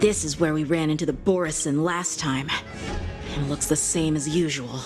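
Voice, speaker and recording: A woman's voice: sits low, steady tone, normal speed, music in the background, natural voice. A woman voicing an earnest, inquisitive young officer in a video game, with a polite, questioning manner. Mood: inquisitive, serious. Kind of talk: scripted video game dialogue acting.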